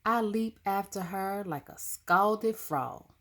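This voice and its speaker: A woman narrating a children's story expressively, with dramatic, deliberate phrasing. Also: mid-range voice, fairly bright tone. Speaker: storybook reader.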